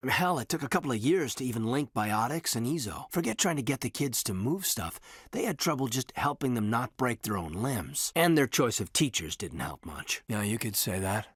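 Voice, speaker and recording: An actor playing an earnest, reserved military officer in his thirties in a sci-fi video game, speaking in a measured, hesitant, husky voice. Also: medium pitch, big pitch swings, fairly bright tone, no room sound, clean studio sound. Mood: somber, reflective. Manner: hesitant, measured, sincere, deferential. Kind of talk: video game character dialogue.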